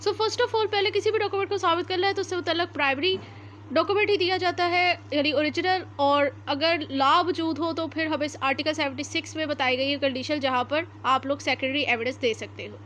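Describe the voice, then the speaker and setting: A very high-voiced woman with a Pakistani accent teaches like an exam-prep lecturer. She is methodical, explaining point by point and switching in English terms. The recording is amateur, with faint noise behind her. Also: steady tone, a bit bright. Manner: methodical, reads formal text slowly then explains it point by point; mixes English terms into Urdu. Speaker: law lecturer.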